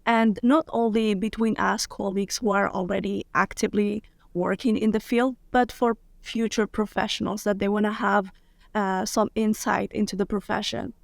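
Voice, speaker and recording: Gravelly-voiced woman lawyer guest, dry sound. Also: mid-range voice, fairly even intonation, normal speed, dry recording. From Morocco, grew up in Spain. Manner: upbeat, candid, laughs easily. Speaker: guest, international business lawyer. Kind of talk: casual interview conversation.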